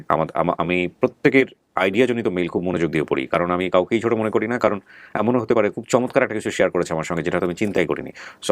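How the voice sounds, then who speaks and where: A man's voice: deep voice, no room sound. A man hosting an online show, talking earnestly and reflectively to his viewers with fillers and restarts. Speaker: host. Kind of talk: casual talk to viewers.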